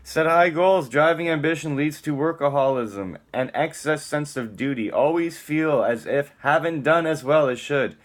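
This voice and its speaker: A man's voice: a little high, normal speed, hardly any pauses. A man giving a dramatic, theatrical spoken-word reading, moving between emphatic lines and muttered casual asides.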